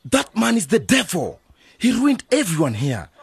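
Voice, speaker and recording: A husky, animated village uncle. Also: male voice, sits high, moderate pace, no echo, exaggerated voice. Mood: alarmed warning. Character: village uncle, wary elder, protective and encouraging. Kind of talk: radio drama acting.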